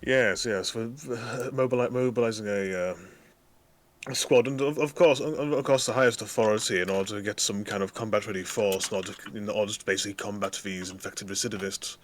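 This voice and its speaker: A man voicing a pompous male military commander who speaks in a stuffy, formal, halting way, over an online voice chat mic. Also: fairly low pitch, steady tone, natural voice.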